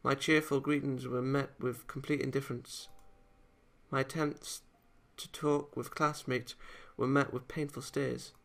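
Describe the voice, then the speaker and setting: Visual novel schoolgirl read by a man, bitter and brooding, gravelly edge, quietly menacing. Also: medium pitch, level tone, a little slow. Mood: bitter, lonely. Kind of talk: dramatic read-aloud of game dialogue.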